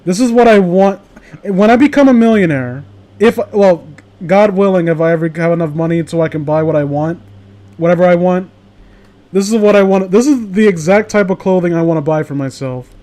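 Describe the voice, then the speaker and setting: A man hosting a casual group stream, talking in a rambling, excitable way. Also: high-pitched, a little fast. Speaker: host of a live group stream. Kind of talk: casual chat.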